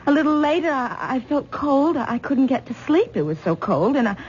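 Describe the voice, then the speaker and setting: A nervous young wife, stuttery, highish voice, muffled old radio sound. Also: female voice, sing-song, fairly quick, a little mellow. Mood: anxious. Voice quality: stammering, nervous. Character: anxious, frightened young wife, stammering. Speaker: troubled wife. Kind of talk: 1970s radio drama acting. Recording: dull old radio broadcast with faint hiss.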